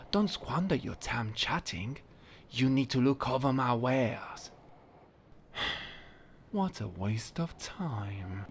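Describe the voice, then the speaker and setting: Creaky, gloating undead merchant. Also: male voice, medium pitch, a bit bright, voice pushed up, heavily acted. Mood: irritated, sneering. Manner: sneering, wheedling, slow drawn-out delivery. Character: sly, gloating, half-mad old undead merchant. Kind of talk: video game character voice acting.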